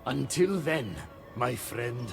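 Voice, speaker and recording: An actor playing an eager, devoted messenger god in a video game, earnest and theatrical in delivery. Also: male voice, medium pitch, expressive, slow, gravelly. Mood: admiring. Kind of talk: video game cutscene acting. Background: faint background music.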